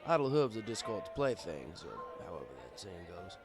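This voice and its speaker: A man's voice: low voice, sing-song, normal speed, music in the background, pitched below the performer's own voice. A man playing an easygoing, fatherly pony bartender who tells his story in a wry, conversational way. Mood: wry, settled. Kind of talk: first-person audiobook narration in character.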